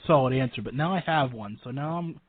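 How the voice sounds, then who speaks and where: A man's voice: mid-range voice, brisk, husky, no room sound. A man hosting a casual interview, chatty and often repeating or interrupting himself. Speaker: talk show host, interviewer. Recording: dry, narrow phone-like sound.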